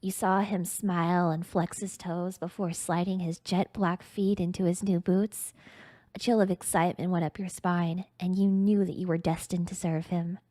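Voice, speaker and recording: A woman's voice: on the low side, warm tone, dry recording. A woman streamer reading a story aloud in a theatrical, mock-grandiose, dramatic narrating style.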